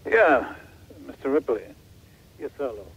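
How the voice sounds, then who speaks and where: An actor playing a weary, halting businessman who reports over a telephone in a radio play. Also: medium pitch, expressive, a bit bright, breathy edge, full character voice. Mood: tense, subdued. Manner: hesitant, halting. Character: weary, hesitant businessman passing on ransom news by phone. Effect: telephone filter. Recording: muffled noisy phone line.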